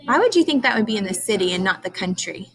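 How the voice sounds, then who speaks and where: A woman's voice: mid-range voice, very animated, fast, fairly bright tone, slight background noise. A woman teacher leading a read-aloud lesson for young children, prompting with questions and carefully sounding out key words. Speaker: early-grades teacher.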